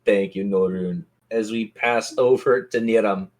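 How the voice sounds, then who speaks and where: A man's voice: lowish voice, steady tone, a little slow, darkish tone. Man running a tabletop fantasy game. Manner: colloquial, addresses players directly. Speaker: game master.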